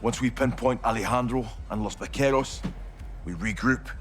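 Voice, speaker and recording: An actor playing a cocky, loyal special forces sergeant in a military game, punchy and informal. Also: male voice, medium pitch, moderate pace, a bit bright, faint music behind, lightly acted. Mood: determined. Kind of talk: cinematic military game acting.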